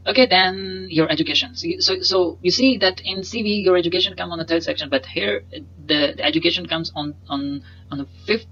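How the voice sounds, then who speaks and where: A young man whose first language is Punjabi, giving a webcam lesson and explaining slides in a halting way, full of fillers and repeated words. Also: sits high, bright, forceful tone, highs cut off. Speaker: instructor. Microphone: webcam in a corner inset.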